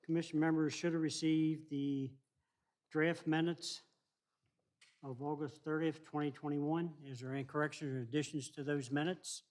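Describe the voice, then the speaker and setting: A man's voice: a little high, flat delivery, normal speed, darkish tone. A man chairing a town planning commission meeting in a formal, procedural way. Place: council meeting room.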